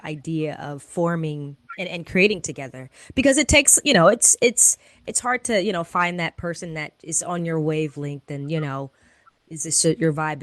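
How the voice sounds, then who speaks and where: A woman with a very low voice hosts an interview, asking long, meandering questions and cheering the answers on. Her voice is dry and close, with no room sound. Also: no echo. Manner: warm, affirming, rambling questions. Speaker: interview host. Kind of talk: podcast interview.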